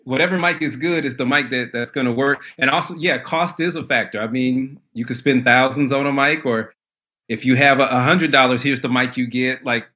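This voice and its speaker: An easygoing man from Michigan talks casually as an interview guest. His voice is bright and forward at a middling pitch, and he rambles and restarts his sentences as he goes. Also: a little fast. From Lansing, Michigan, USA. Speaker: guest, a cappella artist. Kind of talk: casual conversation.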